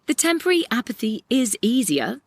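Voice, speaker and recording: A woman's voice: fairly high pitch, big pitch swings, fairly quick. A woman playing a weary young narrator, delivering a lyrical, reflective monologue in an audio play. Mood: quoting, earnest. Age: young adult.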